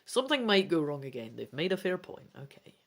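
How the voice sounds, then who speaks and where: Woman host, dramatic storybook reader with very animated pitch and chatty, self-deprecating asides. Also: a little fast. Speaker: host of a gamebook let's play.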